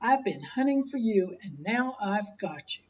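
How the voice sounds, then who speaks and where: A woman voicing a shrill, greedy female bug collector in a storybook, loud and hollering. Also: sing-song, measured pace, far from the mic, muffled recording, a little hiss or hum. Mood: gloating.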